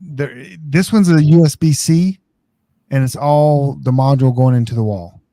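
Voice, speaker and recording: An American man hosts a casual live stream, giving rambling tech advice. His voice is relaxed and mellow, with a mid-range pitch. The sound is very dry. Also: moderate pace, no room sound.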